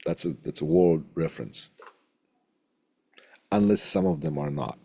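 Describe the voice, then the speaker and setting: Deep, gravelly male instructor, halting delivery. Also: huge pitch swings, mellow. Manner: halting, hesitant delivery with long pauses. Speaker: college instructor teaching audio equipment. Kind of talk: informal recorded lecture over slides. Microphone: close studio condenser microphone through tube preamp.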